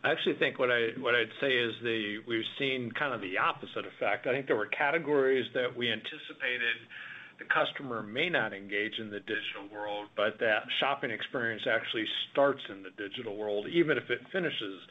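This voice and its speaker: A man, a company executive, answering analysts on an earnings call in a relaxed, conversational way. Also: mid-range voice, fairly quick. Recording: dull conference line.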